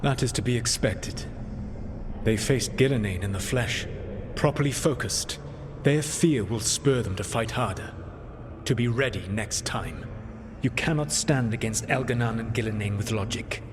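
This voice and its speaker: A man playing an ancient rebel leader in a fantasy game, speaking in a formal, measured, solemn manner. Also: mid-range voice, close to an everyday voice. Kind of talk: scripted fantasy game dialogue. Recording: long reverb, loud background noise.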